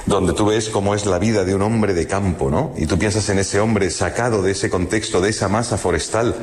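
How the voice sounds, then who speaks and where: A man's voice: lowish voice, brisk, darkish tone. A man from Madrid with a Madrid accent, musing in a lyrical, literary way and repeating words for emphasis in a reflective radio conversation. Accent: Madrid Spanish. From Madrid, Spain. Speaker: guest commentator on literature.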